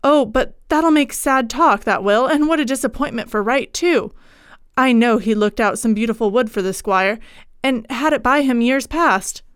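A woman's voice: on the high side, expressive, quick pace, clean tone, dry recording, clean studio sound, close to an everyday voice. A woman voicing a humble male parish clerk in a storybook reading, deferential and rustic in his phrasing. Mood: shocked. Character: deferential, easily shocked village parish clerk, man.

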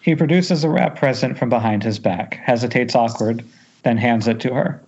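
A man's voice: medium pitch, normal speed, a little mellow, slightly rough. A man reading scripted stage directions as narrator at an amateur table read. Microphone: Skype call. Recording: dull lo-fi internet call.

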